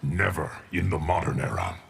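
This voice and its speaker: A man's voice: low voice, fairly even intonation, normal speed, gravelly, very noisy. A man voicing a solemn, cryptic immortal guardian of time in a video game, with a stately delivery. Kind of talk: video game character acting.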